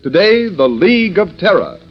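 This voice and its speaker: Old timey radio announcer guy, highish, dramatic delivery, muffled with faint music. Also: very animated, normal speed. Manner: declamatory. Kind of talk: 1930s radio announcing and ad read.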